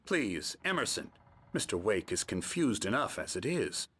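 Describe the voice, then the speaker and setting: A man playing a smug, condescending middle-aged psychiatrist in a video game, speaking in a patronizing, measured, lecturing way. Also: fairly low pitch, very animated, fairly bright tone, breathy edge, exaggerated voice. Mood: dismissive. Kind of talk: video game cutscene acting.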